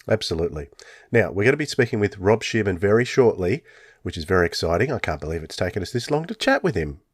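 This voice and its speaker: A man's voice: lowish voice, big pitch swings, fast, breathy edge, no echo, studio quality. A man hosting a fan podcast in casual, enthusiastic chat. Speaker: podcast host.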